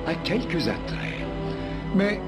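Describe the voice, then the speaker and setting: An actor playing a scheming, theatrical villain in a dubbed cartoon, pompous and full of mock courtesy. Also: male voice, high-pitched, very animated, slow, exaggerated voice. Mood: gloating admiration. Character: scheming villain, suave, theatrical, gloating male tyrant. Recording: music under the voice.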